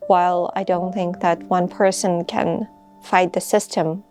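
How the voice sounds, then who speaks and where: A young woman from Russia in her twenties, answering interview questions in an earnest, hesitant way with many pauses and fillers, recorded outdoors on a campus. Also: fairly low pitch, little pitch movement, darkish tone, soft background music. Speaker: master's student speaking about a protest.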